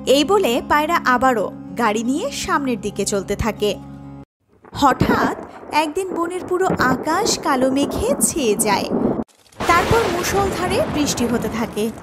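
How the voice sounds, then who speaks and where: A woman reads a children's fairy tale as its narrator. Her voice is strikingly high and her pace is slow. Music plays clearly beneath the scripted narration. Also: big pitch swings. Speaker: storyteller narrator.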